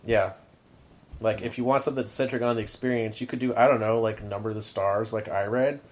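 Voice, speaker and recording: A man's voice: lowish voice, steady tone, fairly quick. A man co-hosting a podcast, talking in a rambling, casual way with lots of asides. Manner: rambling, chatty, full of "like" asides. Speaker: podcast co-host and middle school teacher. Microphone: podcast microphone.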